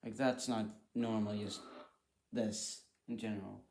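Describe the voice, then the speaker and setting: A man's voice: lowish voice, flat delivery, unhurried, vocal fry, mellow, a little hiss or hum. A man giving casual reaction commentary, thinking aloud in a hesitant, repetitive way. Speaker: reaction video host.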